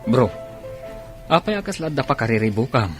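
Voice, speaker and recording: A man's voice: mid-range voice, sing-song, normal speed, music in the background, close to an everyday voice. A man acting as a concerned, probing friend in a radio drama, conversational and questioning. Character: concerned, probing male friend giving advice.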